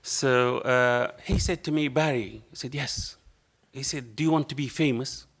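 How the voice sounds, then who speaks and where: A man's voice: mid-range voice, normal speed, a bit bright. Man with a strong Arabic accent. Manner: storytelling, acts out both sides of dialogue; passionate, non-native phrasing. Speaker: panel speaker. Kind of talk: anecdotal public talk. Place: dark lecture hall panel table. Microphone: table microphone.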